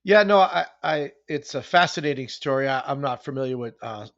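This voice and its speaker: A man's voice: mid-range voice, animated, fairly quick, fairly bright tone. A man with a Boston accent co-hosting a casual podcast, chatty and jokey, often turning questions to his co-host. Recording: dull, dry podcast audio.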